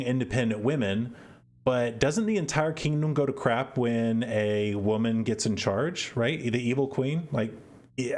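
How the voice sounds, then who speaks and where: A man hosting a podcast, giving casual, exasperated commentary and often repeating words as he thinks aloud. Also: mid-range voice. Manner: opinionated, exasperated. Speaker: podcast host.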